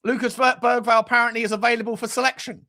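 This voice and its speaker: A man's voice: very high-pitched, little pitch movement, quick pace, bright, forceful tone. A British man in his forties hosting a casual football livestream, rambling and joking in slangy, sweary chat as he answers comments. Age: 40s. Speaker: host of a football fan livestream.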